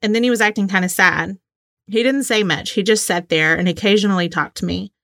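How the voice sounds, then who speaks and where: A woman in her forties with a very bright voice, recorded close and dry. She talks like a casual podcast co-host, informal and giggly, moving between chat and reading aloud. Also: mid-range voice, fairly quick, dry recording. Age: 40s.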